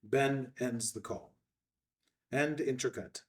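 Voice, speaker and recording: A man's voice: mid-range voice, slow, recorded from a distance. A man reading stage directions as narrator at a screenplay table read.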